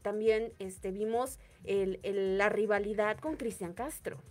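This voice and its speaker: A woman's voice: mid-range voice, unhurried, a little mellow. A young woman with a Mexican accent co-hosting a celebrity gossip radio show, chatting casually and retelling scenes with quoted voices. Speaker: entertainment show co-host. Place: small radio studio booth. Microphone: studio mic on desk stand.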